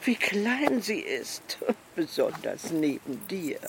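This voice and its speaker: A woman playing a kindly, weary pregnant village woman who speaks gently in a radio play. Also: lowish voice, big pitch swings, slow pace, noisy background, heavily acted. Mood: curious, amused. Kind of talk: German radio play acting.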